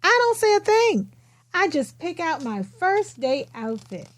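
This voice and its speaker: A woman voicing a quiet, matter-of-fact young kindergarten girl who tells her own storybook tale in simple, expressive phrases. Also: very high-pitched, unhurried, dry recording, heavily acted. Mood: earnest, matter-of-fact.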